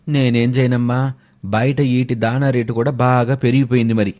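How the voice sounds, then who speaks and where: A man's voice: medium pitch, flat delivery, moderate pace, darkish tone, lightly acted. A man playing a greedy, defensive village donkey-milk seller and husband in a fairy tale. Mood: excusing. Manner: defensive, complaining. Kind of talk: acted dialogue in a moral fairy tale.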